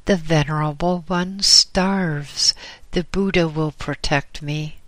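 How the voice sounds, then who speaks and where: A man's voice: a little high, steady tone, takes it slowly, fairly bright tone, no echo, pitched above the performer's own voice. A man voicing a tired young male monk in a storybook reading, pleading urgently. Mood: determined.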